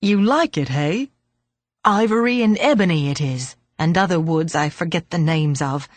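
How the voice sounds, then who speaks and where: A woman doing a creaky, grumbling old man. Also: low voice, expressive, normal speed, no room sound, voice pushed down. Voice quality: creaky, growling. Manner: grumbling, gruff. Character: frail grumpy old man, gruff but kindly.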